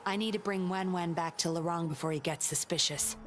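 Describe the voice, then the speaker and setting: A woman playing a composed, confident young undercover investigator in a video game, speaking in a measured, self-assured way. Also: on the low side, steady tone, faint music behind, natural voice. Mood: businesslike.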